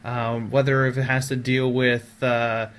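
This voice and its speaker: A man's voice: medium pitch, level tone, a little slow, a bit bright, clear, resonant tone. A man hosting a podcast in casual, conversational chat. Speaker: podcast host. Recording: noticeable background noise.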